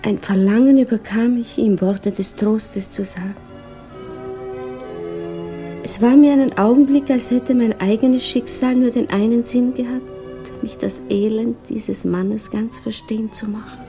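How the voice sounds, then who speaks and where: An actress playing a grieving, lonely woman who recounts her sorrow in a solemn, hushed 1950s radio drama monologue. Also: on the high side, fairly even intonation, measured pace, faint music behind. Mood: subdued sorrow. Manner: solemn, hushed, reflective, often sinking toward a whisper.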